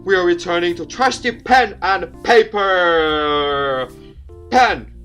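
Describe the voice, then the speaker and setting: A man's voice: high-pitched, slow pace, a bit bright, soft background music, pitched above the performer's own voice. A man voicing a grumpy, old-fashioned male schoolteacher in a visual novel, ranting in an emphatic, pompous way. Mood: indignant ranting.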